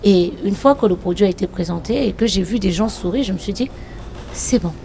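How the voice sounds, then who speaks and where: A woman actress answering interview questions in a reflective, hesitant way with many pauses. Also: mid-range voice, creaky, warm tone, slight background noise. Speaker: actress and comedian.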